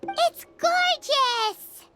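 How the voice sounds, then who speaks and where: A woman voicing a small, childlike female fairy companion in a video game, eager and squeaky. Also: expressive, extremely slow, loud music behind the voice, exaggerated voice. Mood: awed. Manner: refers to self in third person, eager, squeaky.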